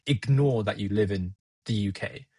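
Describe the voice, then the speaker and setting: Young man, husky, reflective and wry. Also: lowish voice, moderate pace, mellow, no room sound, clean studio sound. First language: Gujarati. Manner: reflective, laughs at himself, talks it through. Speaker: podcast guest. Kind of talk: casual conversation.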